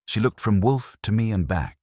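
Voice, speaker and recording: A man's voice: lowish voice, animated, normal speed, mellow, no room sound, clean studio sound. A man reading a first-person detective story as an audiobook narrator.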